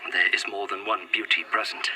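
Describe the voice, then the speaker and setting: An actor playing a devoted, courtly Victorian gentleman in a gothic audio drama, speaking in a formal, earnest, old-fashioned way. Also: deep voice, level tone, brisk, slight background noise, low-quality recording. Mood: tender, earnest. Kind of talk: found-footage gothic audio drama acting.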